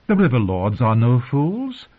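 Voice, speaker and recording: A man's voice: medium pitch, wildly swooping pitch, a little slow, voice pushed up. Man putting on a haughty queen, phone-like sound. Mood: arguing. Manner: arch, argumentative. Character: haughty, sharp-tongued queen mother, poisonously sweet. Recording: narrow, phone-like lo-fi audio.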